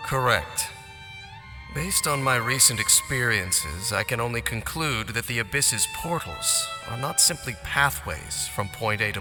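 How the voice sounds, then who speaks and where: A man playing a solemn, guarded wandering swordsman who speaks in a measured, formal way in a game cutscene. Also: mid-range voice, lightly acted. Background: game score music with strings.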